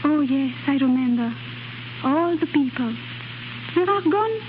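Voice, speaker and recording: An actress in a 1930s radio drama playing a distraught young foreign nightclub singer who passes as a noble ward, impassioned and theatrical. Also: female voice, sits high, animated, measured pace. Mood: subdued, apologetic. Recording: muffled, crackly 1930s radio broadcast.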